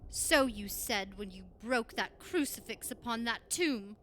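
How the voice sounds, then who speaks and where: An actress plays an outspoken clergywoman in an old-time radio horror play. Her bright, fairly high voice swings expressively, formal and emphatic, with faint hiss behind it. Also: lively intonation, unhurried. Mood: grave. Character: grave, outspoken clergywoman challenging a sceptic. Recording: stage recording with faint hiss.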